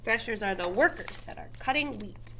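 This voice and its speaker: A woman's voice: on the high side, expressive, moderate pace, sharp tone, a little hiss or hum. A woman reading a children's story aloud expressively, with emphatic stretched phrases and little explanatory asides. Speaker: storybook reader.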